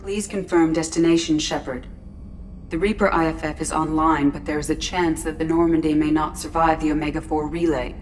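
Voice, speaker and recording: Low, composed female ship AI acted by a woman. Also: fairly even intonation, a little fast, soft background music, close to an everyday voice. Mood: calm, cautioning. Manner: formal, precise. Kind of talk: video game voice acting.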